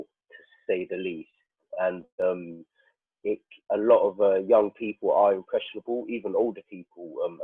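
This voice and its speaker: A man's voice: sits low, slightly rough. A man speaking casually as a panel guest, with hesitant, stop-start phrasing, over a narrow phone-like connection. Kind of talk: casual unscripted discussion. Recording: muffled phone-like line, roomy echo.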